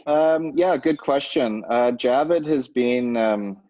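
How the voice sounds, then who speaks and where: A man giving a market update on a conference call, halting and full of fillers. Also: medium pitch, mellow, no room sound. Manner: halting, many fillers and restarts. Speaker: financial advisor. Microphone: phone or conference line. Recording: dull lo-fi conference call audio.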